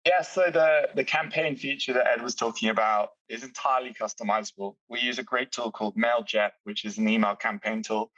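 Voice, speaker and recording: British man explaining software, distant and dull. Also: medium pitch, fairly even intonation, a little fast, few pauses, dry recording. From Britain. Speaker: software company executive. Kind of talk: explaining product features in a podcast chat. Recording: distant dull-sounding mic, dry.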